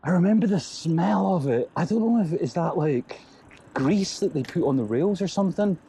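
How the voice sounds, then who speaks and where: A man's voice: sits high, animated, moderate pace, gravelly, background noise. A man chatting casually and rambling with many fillers as he cycles along a coastal road. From Scotland. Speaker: vlogger cycling. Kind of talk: casual chat while riding. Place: outdoors on a bike by a coastal road.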